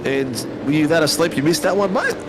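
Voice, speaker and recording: A man's voice: a little high, lively intonation, brisk, noisy background. An Australian man commentating a sim race in a casual, matey way, with plenty of ums and uhs.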